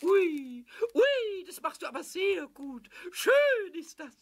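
An actor playing a mischievous, childlike little male kobold in a children's radio play, squeaky and excitable, often stammering. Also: slow pace, full character voice. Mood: excited. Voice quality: squeaky, high-pitched. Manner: excitable, stammering, gleeful wordplay.

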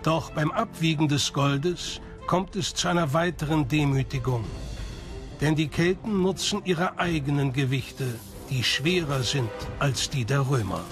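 A male documentary narrator with a fairly high voice reads a prepared script. Music plays clearly behind him. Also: a little slow. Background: background music.

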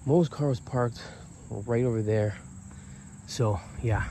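Guy whispering-ish to camera while exploring an abandoned building, mellow low tone, bit of background hiss. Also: slow pace. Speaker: vlogger filming an abandoned building. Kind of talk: handheld exploration vlog, talking to camera. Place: inside a large abandoned building.

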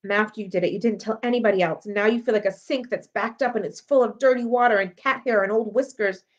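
A woman reading the part of a troubled, guarded young schoolgirl in a storybook, earnest and halting. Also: medium pitch, natural voice. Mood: anxious, confessing. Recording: dull lo-fi audio.

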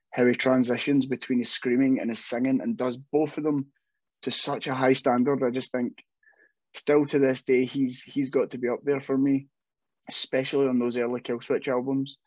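A man's voice: medium pitch, flat delivery, normal speed, a little mellow, no room sound. A young man speaks from a room at home over a video call, talking loosely with lots of restarts and shrugging asides. The audio is dry and somewhat muffled, with a mid-range voice. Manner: rambling, self-deprecating, many restarts. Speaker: metal band vocalist. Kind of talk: casual interview chat.

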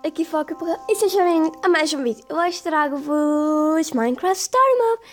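A woman with a European Portuguese accent, hoarse today, rambling casually through a game playthrough. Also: high-pitched, lively intonation, normal speed. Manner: rambling, hesitant. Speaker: gaming youtuber. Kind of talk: casual let's play commentary. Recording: voice over game music.

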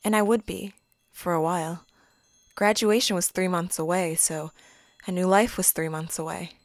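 A woman's voice: on the low side, fairly even intonation, normal speed, no echo, clean studio sound, lightly acted. A young woman playing a lonely, wry student who narrates an introspective audio drama. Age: young adult. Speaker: lead and narrator.

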